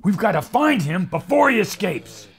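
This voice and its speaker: An actor playing a brash, plucky adventurer hero with folksy exclamations in an old-time pulp radio drama. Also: male voice, high-pitched, sing-song, normal speed, vocal fry, background noise, full character voice. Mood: eager.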